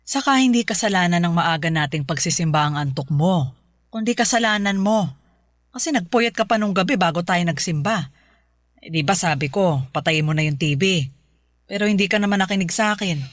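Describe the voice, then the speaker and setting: A woman's voice: lowish voice, expressive, fairly quick, dry recording, clean studio sound, natural voice. A woman playing a devout Filipino mother in a radio drama, chiding and instructing her child in a motherly way. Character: devout scolding mother of a teenage daughter.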